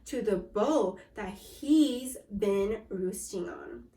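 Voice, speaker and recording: A woman voicing a big, friendly, helpful bird in a storybook read-aloud, calm and reassuring. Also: mid-range voice, extremely slow, reverberant, recorded from a distance, voice pushed down.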